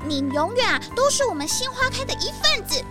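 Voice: very high voice, very animated, a little fast, music in the background. A performer voicing a cheerful villager who laughs and reassures in a children's radio drama. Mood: kind.

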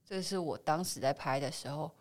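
A woman's voice: deep voice, fairly quick. A woman with a Taiwanese Mandarin accent, an actress answering interview questions in a reflective, conversational way, explaining things at length. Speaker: guest actress.

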